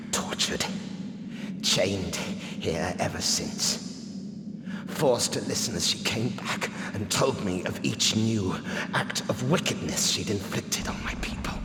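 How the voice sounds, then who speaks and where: An actor playing a desperate, weakened captive prince in a video game, with a strained, breathy, gravelly voice and a halting, theatrical delivery. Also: male voice, on the low side. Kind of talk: dramatic video game cutscene acting. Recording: noisy, echoing cavernous reverb.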